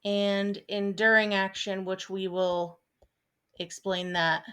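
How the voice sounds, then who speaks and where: A woman in her thirties, a teacher, explaining a lesson in a relaxed, chatty way, with frequent ums and small asides. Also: medium pitch, slow pace, smooth. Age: 30s. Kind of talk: recorded online lesson. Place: at home, seated at a desk.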